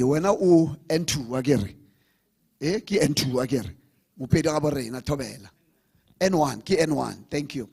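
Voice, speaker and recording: A man's voice: fairly high pitch, breathy edge. A man hosting a live event, rallying the audience and switching languages. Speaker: event host. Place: conference hall with audience.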